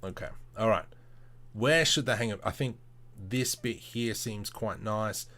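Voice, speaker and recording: Casting: male livestream host, lowish voice, informal, talks himself through computer work and chats with viewers. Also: unhurried, a bit bright. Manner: informal, muttering to himself, playful self-talk. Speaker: livestream host demonstrating 3D modelling. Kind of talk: casual livestream chat with thinking-aloud tutorial commentary.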